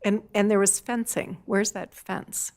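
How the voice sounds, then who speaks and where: A woman chairs a city council meeting with a mid-pitched voice. She puts questions to staff and calls the votes in a brisk, procedural manner. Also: steady tone, darkish tone. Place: council chamber.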